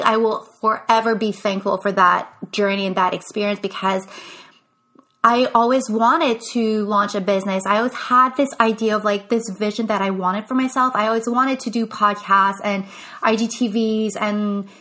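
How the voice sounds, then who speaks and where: A woman's voice: on the high side, steady tone, a little slow, a bit bright. A young woman from Quebec, a business coach, chatting casually on her podcast with many "like" fillers and restarts. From Quebec, Canada. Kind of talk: casual solo podcast talk.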